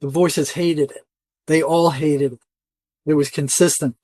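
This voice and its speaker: Older male interviewee, slightly high voice, dry close sound, folksy and emphatic storyteller. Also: fairly even intonation, measured pace, warm tone, no room sound. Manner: emphatic, colloquial, often quoting imagined dialogue. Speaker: interview guest, retired psychotherapist.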